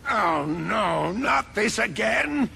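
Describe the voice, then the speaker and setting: An actor voicing a fussy, overprotective old father in a video game, blustering and halting with a creaky voice. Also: high voice, expressive, bright, forceful tone, raised voice, exaggerated voice. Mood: flustered scolding. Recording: music behind the voice.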